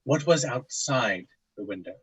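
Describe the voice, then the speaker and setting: An actor playing a formal, methodical investigator of strange events, speaking in a clinical, hesitant, measured way in an audio drama. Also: male voice, medium pitch, expressive, a bit bright, slightly rough, no echo, exaggerated voice. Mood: probing, polite. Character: formal, methodical paranormal investigator, male, narrating case tapes. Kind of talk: audio drama, scripted case-file narration and interview.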